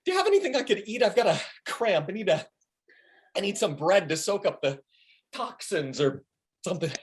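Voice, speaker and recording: Excitable paranoid friend, played by a man, theatrical, spaced-out, comic play reading, very lively pitch. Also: fairly quick. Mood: needy, complaining. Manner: theatrical, wildly swooping delivery. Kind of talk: comic stage script table read. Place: home, online video call.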